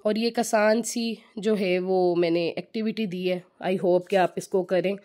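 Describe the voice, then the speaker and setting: A woman with a slightly hoarse throat, giving a chatty home tutorial for mothers that mixes in English words. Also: mid-range voice, steady tone, a little slow, warm tone. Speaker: home teaching channel host. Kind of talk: casual home tutorial talk.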